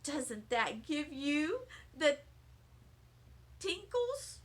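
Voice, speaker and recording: A woman's voice: sits high, big pitch swings, very slow, full character voice. A woman voicing a vain, showy first-grade girl with an affected, self-admiring manner in a children's storybook. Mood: showy excitement. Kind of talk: children's storybook read-aloud.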